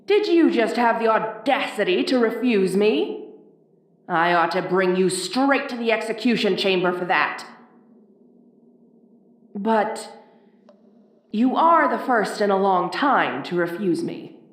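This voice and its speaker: A woman playing a haughty, bossy ice queen in an audio roleplay, speaking with imperious, deliberate phrasing. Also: mid-range voice, lively intonation. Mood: appraising, intrigued. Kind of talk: audio roleplay addressed to the listener. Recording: roomy, echoing voice recording.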